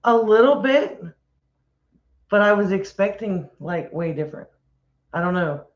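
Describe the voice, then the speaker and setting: A woman's voice: medium pitch, expressive, measured pace. A woman co-hosting a homestead livestream, chatting casually and rambling through restarts. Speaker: homestead channel co-host. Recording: roomy, dull livestream audio.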